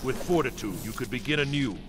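An actor playing a stern, commanding supernatural elder in a video game, speaking in a solemn, formal, imperious manner. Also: male voice, medium pitch, lively intonation, a little fast, over music, natural voice.

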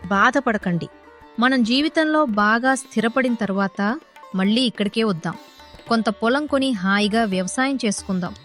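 A woman as a higher-voiced caring village wife. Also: normal speed, lightly acted. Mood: consoling. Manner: reassuring, gentle, practical. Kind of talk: animated moral story voice acting. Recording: clear background music behind the voice.

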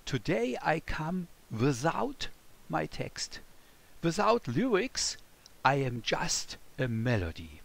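A man from Germany with a German accent, teaching a children's music lesson in simple, deliberate phrases and playfully addressing the listener. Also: mid-range voice, vocal fry, husky. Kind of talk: scripted children's music lesson, speaking as a melody.

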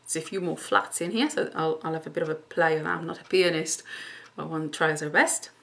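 A woman's voice: sits low, fairly even intonation, brisk, gravelly. A middle-aged woman, a native Italian speaker, thinking aloud informally and self-critically as she practises and teaches singing at home. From Italy. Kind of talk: thinking aloud while demonstrating vocal study. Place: living room at home.

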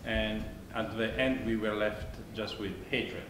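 A man's voice: lowish voice, flat delivery. Italian-accented man on an echoey stage. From Italy. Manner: thoughtful, halting, many restarts, gesturing. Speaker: panelist, conflict negotiator. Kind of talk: onstage discussion. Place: theater stage, seated. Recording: echoey stage with faint noise.

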